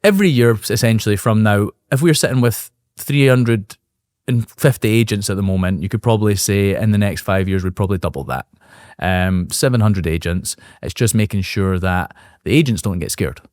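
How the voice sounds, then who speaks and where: A man in his thirties, a business owner as the guest, talking quickly and upbeat in a casual interview, thinking aloud with restarts. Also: on the low side, darkish tone, no room sound, clean studio sound. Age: 30s. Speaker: guest, real estate brokerage owner.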